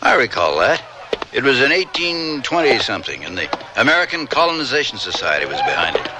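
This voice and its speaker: An actor playing a nosy, wry frontier reporter with a folksy, glib manner in a radio western. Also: male voice, medium pitch, big pitch swings, fairly quick, very noisy, lightly acted. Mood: curious, reassuring. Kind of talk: old-time radio western acting.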